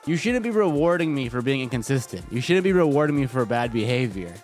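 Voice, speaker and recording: A man's voice: mid-range voice, big pitch swings, fast talker, darkish tone. A man streaming live, a little congested and stuffy, chatting casually and joking with lots of profanity. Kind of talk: casual livestream chat. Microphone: desk microphone. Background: faint music.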